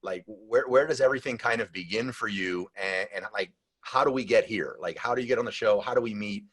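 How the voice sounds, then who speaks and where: A man's voice: on the low side, fairly quick, fairly bright tone, husky. A man hosting a podcast, chatting in a loose, rambling way with many restarts. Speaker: podcast host. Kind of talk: casual podcast chat.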